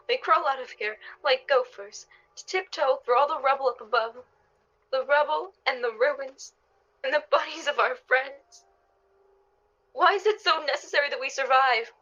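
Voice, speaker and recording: A woman's voice: sits high, unhurried, pauses often, pushed and bright. A woman playing a fretful, pleading wife and mother in a radio drama, anxious and close to despair. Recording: dull, band-limited recording.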